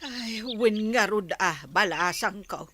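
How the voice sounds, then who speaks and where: A woman's voice: medium pitch, slow pace, background noise, natural voice. A woman playing an older, nosy but sympathetic neighbour in a Philippine radio drama. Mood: concerned, chatty.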